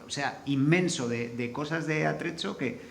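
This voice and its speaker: A man's voice: on the high side, moderate pace, vocal fry, a bit bright. A man with a Spanish accent from Spain talks on a discussion panel in a colloquial, blunt way, without a script. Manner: colloquial, blunt, mild swearing. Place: live forum venue. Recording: echoey room, faint background noise.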